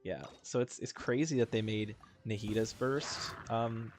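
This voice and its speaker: A man's voice: on the low side, steady tone, unhurried, music in the background. A man giving casual gameplay commentary, rambling and thinking aloud as he plays. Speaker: video game streamer.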